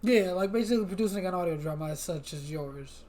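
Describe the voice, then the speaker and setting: A man's voice: sits high, fast talker, darkish tone. A man hosting a podcast interview in a casual, chatty way. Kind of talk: casual chat.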